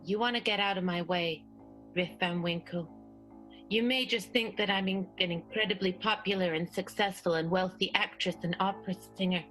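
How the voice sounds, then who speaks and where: A woman playing a haughty, menacing opera diva in an improvised melodramatic radio play, speaking grandly and deliberately. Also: fairly low pitch, steady tone, fairly bright tone, soft background music, close to an everyday voice, low-quality recording. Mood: cold, threatening.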